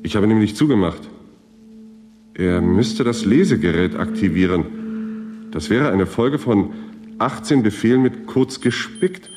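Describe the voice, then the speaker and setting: An actor playing a matter-of-fact security technician in a science fiction radio drama, terse and sober. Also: male voice, lowish voice, animated, measured pace, pauses often. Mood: disbelieving.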